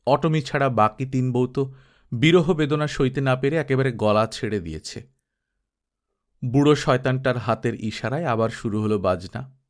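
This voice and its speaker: A man reading a first-person adventure story aloud in a steady narrating voice. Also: mid-range voice, normal speed. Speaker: audiobook narrator.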